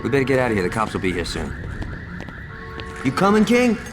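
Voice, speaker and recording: An actor playing a crude, cocky young gangster in a video game, blunt and menacing. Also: male voice, medium pitch, huge pitch swings, a little fast, over music. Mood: casual, cocky.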